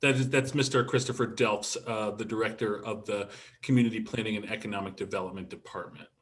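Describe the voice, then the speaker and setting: A man's voice: lowish voice, fairly even intonation, a little fast. A man chairing a council meeting over a video call, guiding the proceedings with frequent ums and restarts.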